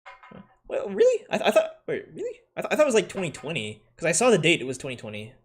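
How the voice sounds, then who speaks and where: A man's voice: on the high side, wildly swooping pitch, quick pace. A man with an American accent, raised in the Philippines, casually ranking anime in a rambling way, full of fillers and self-corrections. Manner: laughs often while talking.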